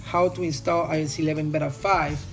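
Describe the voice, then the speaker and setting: A man's voice: fairly high pitch, little pitch movement, quick pace, soft background music. A man whose first language is Spanish, speaking English with a Spanish accent as he casually reviews a phone in a rambling voice-over full of "you know". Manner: rambling, filler-heavy, repeats "you know". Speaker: tech YouTuber.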